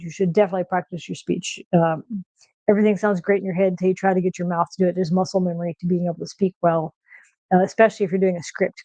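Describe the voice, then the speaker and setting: A woman with a soft nasal voice chatting casually on a livestream from her kitchen, with lots of fillers and restarts. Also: mid-range voice, no echo, low-quality recording. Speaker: streamer host. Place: home kitchen.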